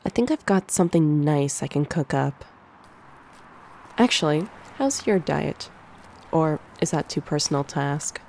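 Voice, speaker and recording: A woman playing a succubus college teacher with a low voice and a slow, soft, teasing way of talking. She is nurturing and speaks close to the microphone in an ASMR roleplay, over clearly audible background noise. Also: animated, heavily acted. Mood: caring. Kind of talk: ASMR roleplay, one-sided conversation. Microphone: close binaural microphone.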